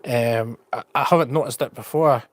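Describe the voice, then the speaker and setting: A man's voice: mid-range voice, normal speed, dry recording. Scottish man chatting with a slight stutter. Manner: stutters a little, repeats words; rambling, frank. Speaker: travel vlogger on a live stream. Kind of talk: casual chat.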